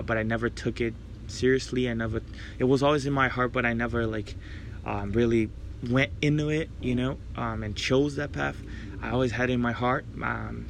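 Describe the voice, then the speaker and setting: A man's voice: mid-range voice, vocal fry, a little hiss or hum. A young man, hosting his own faith podcast and sharing a personal testimony in an earnest, grateful, reflective way. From Brazil. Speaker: podcast host and preacher.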